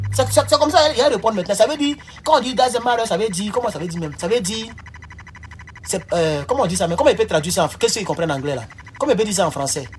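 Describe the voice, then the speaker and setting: A man giving a casual, emphatic monologue as an online commentator, repeating himself and swinging between loud outbursts and low asides. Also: slight background noise. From West Africa.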